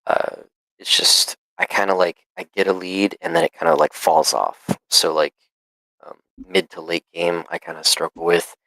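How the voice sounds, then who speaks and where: Deep gravelly man with a cough. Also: fairly even intonation, moderate pace, bright, forceful tone, dry recording, poor audio. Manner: hesitant, many fillers. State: sick, coughing. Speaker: student player. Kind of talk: casual call conversation.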